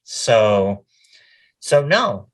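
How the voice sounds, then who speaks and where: A man's voice: fairly low pitch, sing-song, very slow. A man speaking as a voice actor being interviewed, chatting casually and telling stories with quoted bits of conversation.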